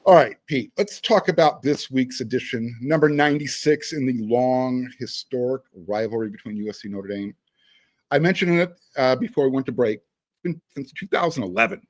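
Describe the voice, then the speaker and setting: A man's voice: mid-range voice, normal speed, no room sound. A man hosting a sports podcast, talking in a conversational way with emphatic phrasing. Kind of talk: casual sports talk with read-out sponsor spots.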